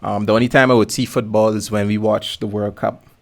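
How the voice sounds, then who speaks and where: A man's voice: fairly low pitch, flat delivery, fairly quick, vocal fry. A man from Guyana, hosting a podcast in a casual, laid-back conversational style full of informal slang. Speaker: podcast host. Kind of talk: casual conversational interview chat.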